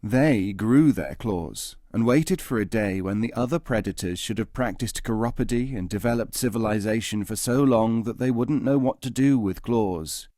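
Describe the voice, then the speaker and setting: A man narrating scripted tales as an audiobook reader, in a measured, articulate storytelling manner. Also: lowish voice, mellow, dry recording, clean studio sound.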